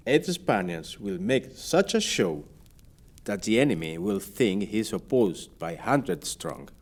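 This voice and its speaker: A man playing a proud, weary Spanish sea captain in an audio play, speaking formal verse in a measured, rhetorical way. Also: mid-range voice, a little mellow, full character voice.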